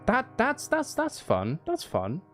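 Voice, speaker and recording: A man's voice: very high-pitched, huge pitch swings, slow pace, over loud music. A man chatting casually on a livestream as he composes, thinking aloud in an apologetic, self-interrupting way. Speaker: live streamer and voice performer. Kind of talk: casual livestream chat while composing music.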